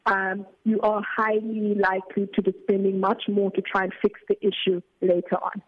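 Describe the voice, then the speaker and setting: A woman speaking as a guest over a thin, phone-like line. Her voice is husky and a little breathy. She explains patiently, stopping often for "uh" and "um" and repeating words as she restarts. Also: mid-range voice, level tone, normal speed. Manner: explanatory, hesitant with many fillers and restarts. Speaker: CEO of an education nonprofit, guest. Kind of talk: radio phone interview.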